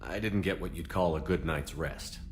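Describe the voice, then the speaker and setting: A deep-voiced, grave starship commander. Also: male voice, moderate pace, slight background noise. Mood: weary, troubled. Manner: terse, steady, grave. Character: weary, resolute male military spaceship commander. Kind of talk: video game cutscene dialogue.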